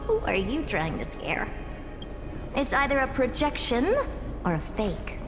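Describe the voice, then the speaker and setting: An actress voicing a haughty, blunt genius scholar in a video game, imperious and dismissive, often musing aloud. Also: female voice, fairly high pitch, big pitch swings, measured pace, over music. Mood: scornful, impatient. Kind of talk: scripted video game voice acting.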